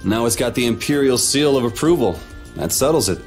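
A man's voice: medium pitch, animated, fairly quick, soft background music, close to an everyday voice. A man playing a laid-back, wry young wandering swordsman in a video game, with a casual, dry, understated delivery. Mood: wry, calm.

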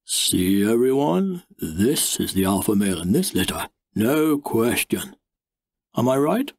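A man voicing a boastful, blustery father with a booming voice in an audiobook story. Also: very animated, measured pace, dry recording, clean studio sound, heavily acted. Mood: triumphant.

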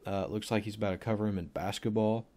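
Low-voiced young man with a cold. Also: steady tone, fast, mellow. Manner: rambling, offhand, thinks aloud with many fillers. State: sick, voice deeper than usual. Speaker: football film analyst. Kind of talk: casual screen-recorded film breakdown.

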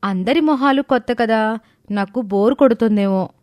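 A woman's voice: on the high side, normal speed, a little mellow, dry recording, close to an everyday voice. A woman voicing a timid young bride in a cartoon family drama, hesitant and pleading. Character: timid, slow-witted young bride seeking her sister's help.

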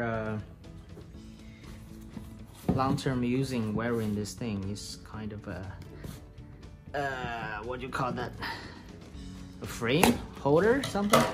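Halting man talking at an unhurried pace. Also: mid-range voice. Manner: halting, searching for words. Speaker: vlogger. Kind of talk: casual unboxing and first-use demonstration. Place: room at home.

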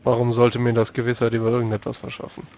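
A man's voice: mid-range voice, monotone, quick pace, creaky, highs cut off, noisy background. A man playing a tabletop role-playing game, giving matter-of-fact advice in character. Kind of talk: improvised in-character game talk.

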